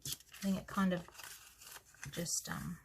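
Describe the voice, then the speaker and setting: Slow, croaky-voiced Australian woman. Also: fairly low pitch, flat delivery, slight background noise, poor audio. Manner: soft-spoken, musing, trails off into quiet asides. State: scratchy, croaky voice. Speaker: planner vlogger. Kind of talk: casual planner walkthrough.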